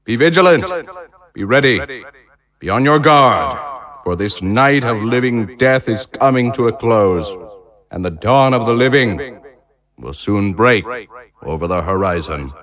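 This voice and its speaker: A man's voice: lowish voice, expressive, unhurried, long pauses. Man acting a grandiose, defiant military leader, crowd behind. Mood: defiant, resolute. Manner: declamatory, rhetorical, stately emphasis. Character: proud, militaristic male consul rallying his troops, grandiose and defiant. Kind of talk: theatrical political oratory.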